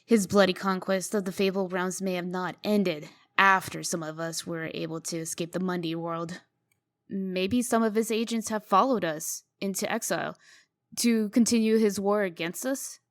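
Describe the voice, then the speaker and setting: A woman playing a brisk, exasperated fairy-tale princess turned official in a comic dub, curt and guarded. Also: medium pitch, close to an everyday voice. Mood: firm, defiant. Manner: curt, guarded, sharp-tongued.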